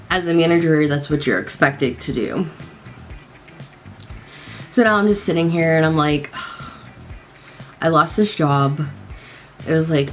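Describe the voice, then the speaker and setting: Young woman, low voice, slightly nasal, rambling casual vlog talk, faint music bed. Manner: rambling, lots of likes and ums. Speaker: beauty vlogger. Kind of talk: casual chat while doing makeup. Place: home at night.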